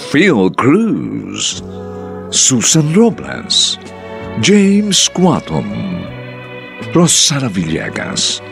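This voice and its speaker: Animated, gravelly male radio drama announcer. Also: medium pitch, drawn-out words. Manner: theatrical. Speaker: narrator and announcer. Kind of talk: dramatic scripted radio narration. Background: music.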